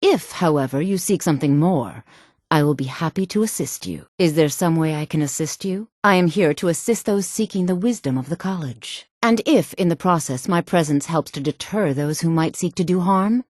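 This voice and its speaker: An actress playing a proud, composed female senior wizard in a fantasy video game, with a sultry voice and formal, measured, lofty delivery. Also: low-pitched, animated, darkish tone, no echo, clean studio sound. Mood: stately, assured.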